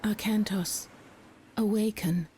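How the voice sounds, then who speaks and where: A solemn goddess, played by a woman, speaks as if in a hero's dream. Her tone is dark and mellow, her phrasing measured and foreboding, with faint ambience behind her in a game cutscene. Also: medium pitch, steady tone, a little hiss or hum, lightly acted. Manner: measured, portentous. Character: calm, solemn goddess warning a hero in dreams. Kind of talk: dramatic video game cutscene acting.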